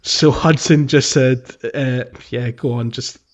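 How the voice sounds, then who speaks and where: A man's voice: mid-range voice, steady tone, slow pace. A man co-hosting a casual video game podcast, chatting in an amused way with frequent chuckles. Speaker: podcast co-host.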